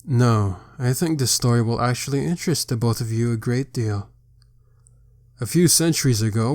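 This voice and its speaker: A man plays a stern vampire father who heads a council, speaking in a formal, probing way. His voice sits at an ordinary middle pitch, and he commands the room in this amateur roleplay. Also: a little mellow, lightly acted.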